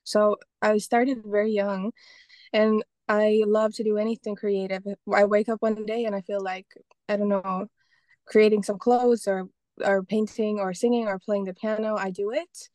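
Mellow-voiced woman from Estonia, chatty guest. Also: mid-range voice, level tone, normal speed, no room sound. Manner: rambling, many restarts and fillers. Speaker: guest, painter and photographer. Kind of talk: casual interview talk.

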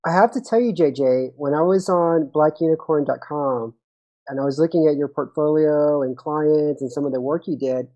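A woman's voice: low-pitched, normal speed, mellow, lo-fi sound. A woman hosting an interview, asking curious, encouraging questions. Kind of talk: conversational interview.

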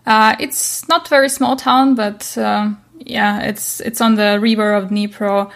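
Young female interview guest, Ukrainian accent, non-native speaker, medium pitch with little variation, halting phrasing. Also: steady tone, vocal fry, fairly bright tone. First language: not English. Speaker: interview guest, chess coach. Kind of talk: casual interview chat.

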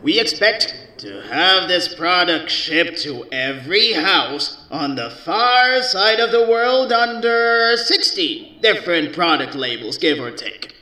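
A man's voice: sits high, very animated, takes it slowly, hardly any pauses, bright, forceful tone, echoey room, distant mic, heavily acted, poor audio. A man voicing an old, scheming general presenting to a council, self-assured and dismissive. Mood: confident pitch. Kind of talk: audio drama acting.

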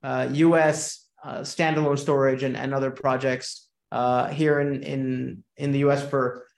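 A man's voice: mid-range voice, little pitch movement, a little slow, creaky, reverberant. A man from Venezuela, a company head talking as a podcast guest, hesitant, with many ums and restarts. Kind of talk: podcast interview.

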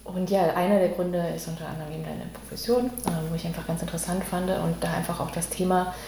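A woman hosting a conversational podcast interview, speaking in a halting, searching way. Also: lowish voice, flat delivery, vocal fry. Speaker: podcast host. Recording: echoey room with faint background noise.

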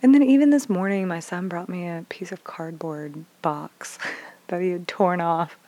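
A low-pitched woman in her thirties talks openly about raising her kids, cursing casually and voicing each side of a conversation. She slips into hushed whispers, then jumps up in loud, excited bursts. The sound is dry and close, with no room around it. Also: moderate pace, dry recording. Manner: expressive, swears freely, acts out dialogue with big swings from whispers to bursts. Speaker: mother sharing parenting stories. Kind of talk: candid casual storytelling.

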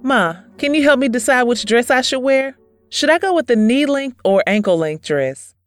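A woman plays an eager young daughter about to be married in an audio drama. Her voice is fairly high, with faint music behind it. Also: animated, moderate pace.

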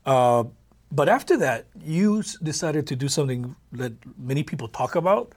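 A man hosting a television interview. He is animated, chuckles often, and drops into soft asides. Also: on the high side, normal speed. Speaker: TV interview host. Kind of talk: broadcast interview.

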